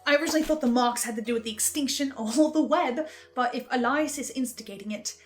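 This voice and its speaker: High-pitched woman giving a pensive recap. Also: fast. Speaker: host of a fan recap series. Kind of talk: dramatic investigative recap monologue. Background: music.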